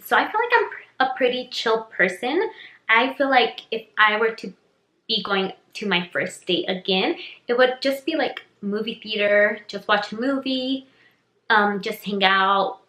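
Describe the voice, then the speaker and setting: Young woman, spanish first language, bright rambly q&a chat, lots of likes and ums, roomy echo. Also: mid-range voice. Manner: chatty, rambling, heavy use of "like" and "um". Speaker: YouTuber. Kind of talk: casual get-to-know-me Q&A. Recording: echoey room.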